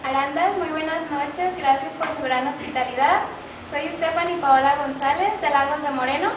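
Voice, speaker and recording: Very echoey, high young Mexican woman. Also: moderate pace. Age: young adult. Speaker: pageant contestant. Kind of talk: informal self-introduction. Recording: tinny, very echoey, noisy distant recording.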